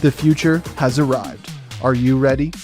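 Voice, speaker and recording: A man's voice: mid-range voice, fairly even intonation, moderate pace, darkish tone, soft background music. A man reading a scripted podcast introduction. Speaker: show intro announcer. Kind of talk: scripted narration.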